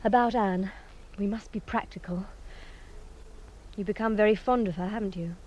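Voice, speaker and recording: A woman's voice: medium pitch, moderate pace, darkish tone, lo-fi sound, slight background noise, close to an everyday voice. An actress playing a determined, practical young woman in a radio drama, speaking earnestly and persuasively. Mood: persuasive, urgent.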